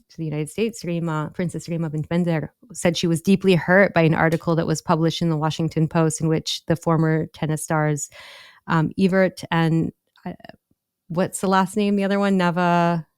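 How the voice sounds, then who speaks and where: Smooth-voiced woman co-hosting a podcast. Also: low voice, flat delivery, moderate pace, darkish tone, no echo, studio quality. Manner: hesitant, many ums and restarts, frequent laughs. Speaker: podcast co-host. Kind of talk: casual news discussion.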